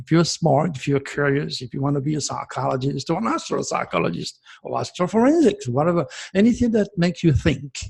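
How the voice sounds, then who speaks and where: Chatty French-accented man, slightly high voice. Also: vocal fry, darkish tone, breathy edge. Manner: chatty, repetitive, checks in with okay. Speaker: astrologer host. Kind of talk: rambling solo video talk.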